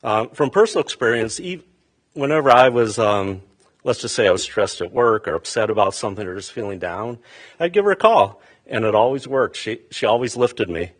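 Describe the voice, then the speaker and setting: A man giving a halting funeral eulogy for his mother in a funeral chapel, with many fillers and restarts. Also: medium pitch, lively intonation.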